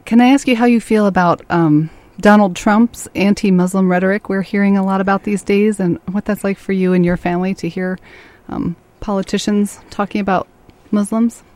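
A woman hosting a radio interview show, asking her guest questions in a measured, polite way. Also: mid-range voice, steady tone, a little mellow.

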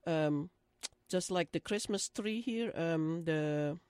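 A woman's voice: sits low, slow pace, a little mellow, clear, resonant tone, dry recording. An older woman from Indonesia, speaking hesitantly with many fillers and frequent laughs in an oral history interview. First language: Dutch.

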